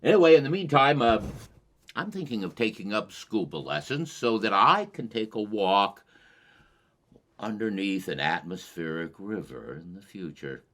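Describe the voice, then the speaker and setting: A man hosting a show, delivering a scripted commentary monologue in deliberate, rhetorical phrases with dramatic emphasis. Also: lowish voice, sing-song, fairly bright tone. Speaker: host of a climate commentary show.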